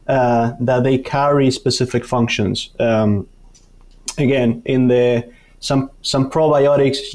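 A man from Argentina with a Spanish-speaker's Argentine accent talks as an expert guest. His pitch sits in the middle, and he explains in a halting way, often repeating small words as he builds each point. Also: a little mellow. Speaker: guest, university dairy researcher. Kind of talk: explanatory interview talk.

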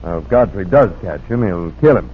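A man's voice: low voice, lively intonation, moderate pace, a little hiss or hum. An actor playing a grim, folksy rural lawman in a 1940s radio drama. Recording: muffled, narrow-band vintage radio recording.

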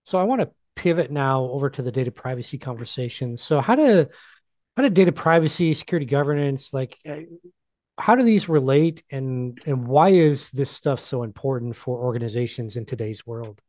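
Male host, casual questioning with fillers, mid pitch, dry recording. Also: expressive, fairly quick, a little mellow, dry recording. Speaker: podcast host. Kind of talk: podcast interview.

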